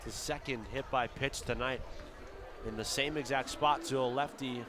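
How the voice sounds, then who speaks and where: A man's voice: mid-range voice, steady tone, moderate pace, noisy background. A man calling baseball play-by-play for radio in short, clipped phrases that lift with the action. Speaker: play-by-play commentator. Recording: ballpark crowd and outdoor ambience behind. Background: crowd at an outdoor ballpark.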